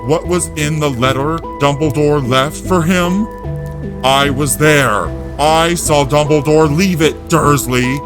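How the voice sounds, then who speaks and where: A man voicing a huge, blustering, protective giant in an audio drama, growling and booming in rough rustic speech. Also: takes it slowly, music in the background. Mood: furious.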